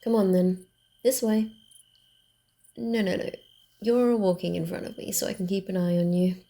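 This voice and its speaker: A woman voicing a wary, dryly teasing vampire in a soft ASMR roleplay, often dropping to a whisper, with a grumbling, hesitant manner. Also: medium pitch, moderate pace, close to an everyday voice. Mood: guarded, bossy.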